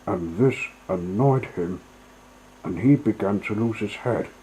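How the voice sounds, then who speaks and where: A man's voice: lowish voice, measured pace, warm tone, slightly rough, poor audio. A man narrating a storybook as a storyteller, reading from a scripted text.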